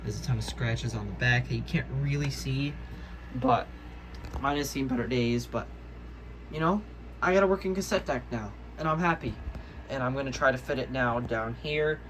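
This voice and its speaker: Male hobbyist vlogger, mid-pitched, halting and self-correcting, informal demo style, clear background noise. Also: a bit bright, rough recording. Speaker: hobbyist video maker. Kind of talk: casual show-and-tell vlog. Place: indoors, room with audio gear.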